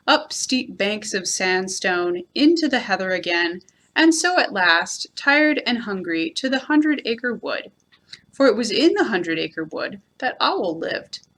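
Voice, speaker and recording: Female storyteller for children's book narration: animated, fairly high voice, deliberate phrasing. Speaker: library storyteller.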